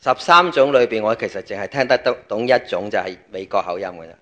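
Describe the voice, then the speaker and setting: Low-voiced Cantonese man preaching. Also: lively intonation, quick pace, sharp tone, dull audio. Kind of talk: sermon with pauses for an interpreter.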